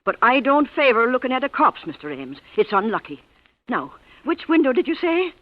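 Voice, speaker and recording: An actor playing a deferential, superstitious older fellow with a folksy, hesitant manner in an old radio drama. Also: male voice, very high-pitched, sing-song, fairly quick. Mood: hesitant, uneasy. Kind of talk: 1940s-50s radio drama acting. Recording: muffled, echoey vintage radio recording.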